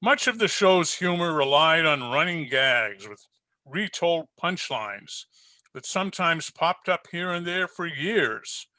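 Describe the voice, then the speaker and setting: A man delivers scripted announcements for an old-time radio show. His voice is very bright and forward, pitched a little high. The sound is close and dry, with no room around it. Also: expressive, takes it slowly, dry recording. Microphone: video-call recording.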